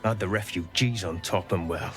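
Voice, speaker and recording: A man's voice: deep voice, normal speed, close to an everyday voice. A man voicing a friendly, chatty townsman with folksy, affable talk. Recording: game dialogue with music.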